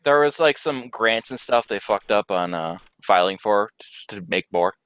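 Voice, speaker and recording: Lively young man, swooping intonation. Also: fairly low pitch, normal speed, clean tone. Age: young adult. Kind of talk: casual chat among friends.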